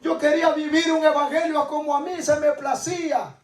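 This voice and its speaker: A man's voice: very high-pitched, flat delivery, fairly quick. A man preaching a sermon as dramatic personal testimony, repeating his phrases with insistent emphasis. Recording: echoey room, distant microphone.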